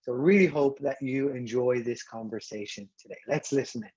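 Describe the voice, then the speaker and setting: A man with an Irish accent hosts a podcast interview. His voice sits at an average pitch and his delivery is earnest and encouraging. The recording is a little dull and lo-fi. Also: normal speed, noisy background. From Ireland. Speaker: podcast host, pastor. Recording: dull, slightly lo-fi audio.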